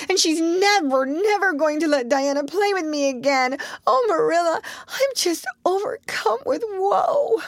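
A woman voicing Anne, a dramatic, tearful young girl who wails and sobs as she speaks. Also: dry recording. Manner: wailing, sobbing, high and breathless.

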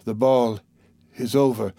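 A man's voice: medium pitch, fairly even intonation, unhurried, creaky, warm tone, no echo, clean studio sound. A man voicing a dying, delirious and bitter sick mother in an audiobook, faint and gasping, with a fretful, rambling, dramatic delivery. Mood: weary, resigned.

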